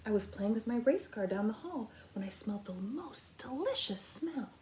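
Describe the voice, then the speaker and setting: A woman's voice: on the high side, big pitch swings, normal speed, a little mellow, slight background noise. A woman voicing an eager, polite, hungry little boy in a children's storybook, wide-eyed and sighing with appetite. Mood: hungry wonder.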